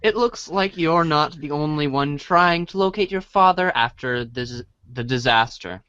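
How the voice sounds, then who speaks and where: A man puts on a droll cartoon storybook narrator, theatrical and halting. The voice drops low and goes slowly, on dry, dull lo-fi audio. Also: sharp tone, smooth, no echo. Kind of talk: comic narration.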